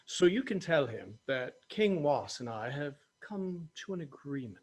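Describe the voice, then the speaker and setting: A man's voice: fairly high pitch, very animated, measured pace, darkish tone. A man playing a brash, roguish pirate captain in a table read of a fantasy comedy. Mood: firm. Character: gruff roguish orc-hating pirate captain, male.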